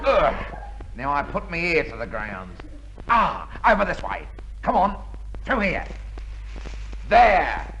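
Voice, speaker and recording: A man's voice: fairly high pitch, wildly swooping pitch, slow, pauses often, a bit bright, heavily acted. A man playing a cheeky, cowardly soldier who wisecracks through a 1950s radio comedy sketch. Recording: noisy 1950s radio, live audience.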